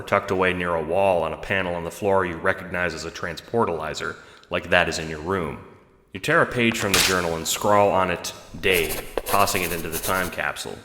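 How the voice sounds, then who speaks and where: Very low-voiced man narrating audio drama. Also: sharp tone. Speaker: narrator and producer. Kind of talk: scripted second-person audio drama narration.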